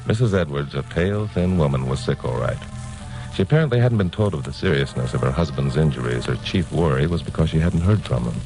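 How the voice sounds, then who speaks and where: A deep-voiced American radio sleuth, wry and cocky. He talks in breezy hard-boiled slang, like an old detective serial, with music running clearly behind him. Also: animated, fairly quick, rough recording. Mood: breezy, reassuring.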